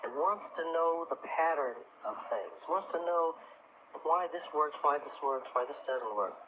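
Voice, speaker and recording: A middle-aged man being interviewed on a radio show, telling his story in a halting, reflective, conversational way. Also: on the high side, expressive. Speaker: radio guest, crystal healing teacher. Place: radio talk show broadcast. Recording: muffled, noisy 1980s radio broadcast, phone-like.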